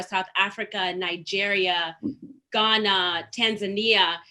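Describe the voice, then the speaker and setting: Woman, from Zimbabwe, bright tone, earnest panel talk, webcam on a video call. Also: medium pitch. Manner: earnest, storytelling, with many "you know" fillers. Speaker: panel guest, store founder. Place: home room with shelves, indoors. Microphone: laptop webcam on a video call.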